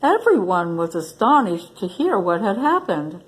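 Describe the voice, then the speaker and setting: Slow, animated woman reading to children. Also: mid-range voice, slightly rough, poor audio. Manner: expressive, addressing young listeners. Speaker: library staff story reader. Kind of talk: children's storybook read-aloud.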